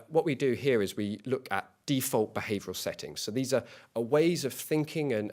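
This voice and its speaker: A man co-founding a small company, giving a competition pitch in an earnest way and often restarting and repeating words. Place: event hall.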